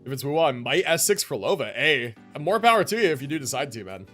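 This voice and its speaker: A man's voice: mid-range voice, very animated, fast talker, a bit bright, soft background music. A man casually commentating a live game stream, chatting with viewers in rambling, informal gamer slang.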